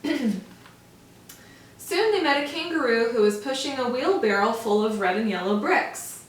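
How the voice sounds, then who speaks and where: A woman's voice: a little high, lively intonation, measured pace. A woman teacher reading a picture book aloud to young children, stopping to explain words. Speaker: first grade teacher. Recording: echoey room, mic far off.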